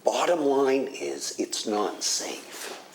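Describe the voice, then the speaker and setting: A man's voice: medium pitch, expressive, drawn-out words. An actor playing a wary, firm, sceptical male researcher in naturalistic game dialogue. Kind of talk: naturalistic recorded meeting dialogue. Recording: echoey noisy meeting tape.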